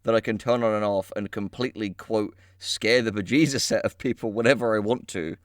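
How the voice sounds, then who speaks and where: A man's voice: lowish voice, fairly quick, no room sound, clean studio sound. A man hosting a casual comedic chat, swearing and joking as he reads entries aloud and comments on them. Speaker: show host reading out web entries.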